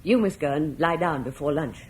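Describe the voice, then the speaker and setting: A woman's voice: deep voice, normal speed, a little mellow, a little hiss or hum, lightly acted. A woman playing a fussing Roman mother. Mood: gentle. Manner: soothing, motherly. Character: caring Roman wife and mother, fussing, gentle. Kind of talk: vintage radio drama acting.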